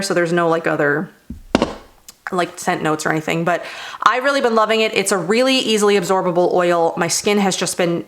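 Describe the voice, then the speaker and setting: A young woman vlogging about skincare in a rambling, casual way with lots of fillers, her voice slightly hoarse after laryngitis. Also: on the low side, a bit bright. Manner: rambling, many fillers like "like" and "you know". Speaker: beauty vlogger. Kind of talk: casual product review chat.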